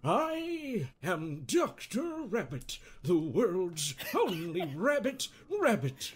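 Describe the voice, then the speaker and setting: A man playing a quirky, needling therapist in an improvised comedic game dub, speaking theatrically with halting pauses and self-amused chuckles. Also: high voice, wildly swooping pitch, breathy edge, exaggerated voice. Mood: showy, jokey.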